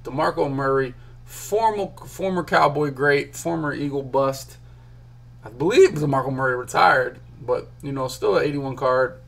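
A young man from Philadelphia, a gaming streamer, chatting casually and excitedly to his chat while opening card packs in a small room at home. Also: medium pitch, moderate pace, gravelly. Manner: chatty, hyped, talks to chat while clicking. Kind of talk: casual pack-opening commentary.

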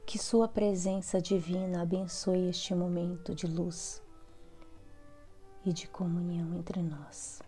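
A Brazilian woman guides a meditation with a lowish, mellow voice. She recites softly in short, prayerful phrases, pausing often and letting the endings turn breathy. Faint music plays behind her. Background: soft background music.

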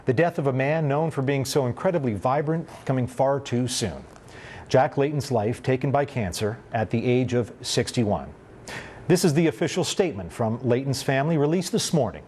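A man's voice: mid-range voice, expressive, normal speed, a little mellow, slight background noise. A middle-aged man presenting scripted news as an anchor in a television studio. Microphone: lapel.

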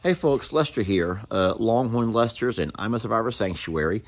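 A man's voice: lowish voice, expressive, normal speed. A man, hosting a casual farm vlog outdoors and filming himself on a phone at arm's length. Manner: folksy, explains to viewers, hollers to his kids. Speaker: vlog host. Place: outdoors on a rural property. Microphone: phone camera at arm's length.

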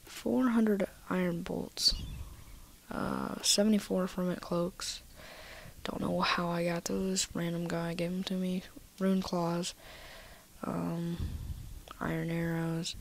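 Woman gamer, stuffy with a cold, low and slow, half-whispering item lists into a desk mic. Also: level tone, vocal fry. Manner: soft, half-whispered listing. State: slightly nasal from a cold. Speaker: gaming video maker. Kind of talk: casual game walkthrough.

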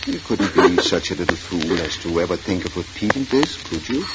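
An actor playing a kindly but firm family doctor in a 1940s radio drama. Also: male voice, sits low, lively intonation, fairly quick. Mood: firm, probing. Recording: noisy, muffled 1940s radio recording.

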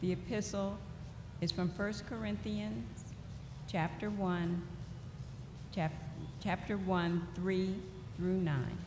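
A middle-aged woman reading scripture aloud at a church lectern in a slow, deliberate way. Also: mid-range voice, fairly even intonation. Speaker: lay reader. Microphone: lectern microphone. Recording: echoey church hall with faint noise.

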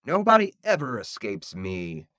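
A man's voice: mid-range voice, wildly swooping pitch, unhurried, sharp tone, no echo, clean studio sound, heavily acted. A man voicing an ancient, evil female monster in a horror story, taunting and gloating over a victim. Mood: menacing, gloating. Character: ancient evil female monster, menacing servant of dark lord.

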